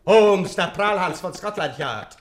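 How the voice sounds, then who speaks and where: A man's voice: high-pitched, expressive, unhurried, crowd noise. A man acting a menacing, hot-tempered doctor in a radio play, with a threatening, emphatic delivery. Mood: scornful rage.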